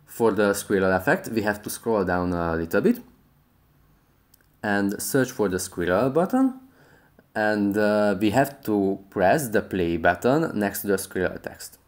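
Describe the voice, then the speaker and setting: Male tutorial host, lower-pitched voice, for scripted app walkthrough narration. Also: lively intonation, a little slow.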